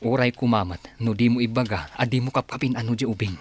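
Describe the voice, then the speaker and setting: A man playing a protective father in an audio drama, with a husky, earnest, admonishing way of talking. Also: mid-range voice, fast, faint music behind, lightly acted. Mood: reproachful. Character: protective, reproachful father defending his adopted son.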